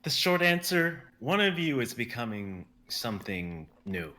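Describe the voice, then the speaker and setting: A man voicing Akalos, a commanding warrior who speaks in a formal, measured way. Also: medium pitch, big pitch swings, pushed and bright, voice pushed up. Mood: stern.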